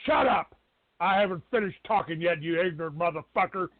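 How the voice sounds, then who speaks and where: A man's voice: high-pitched, a little fast, no room sound. A man hosting a live call-in show in a combative, profane, domineering way, cutting people off. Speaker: talk show host. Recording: tinny, band-limited phone-like audio.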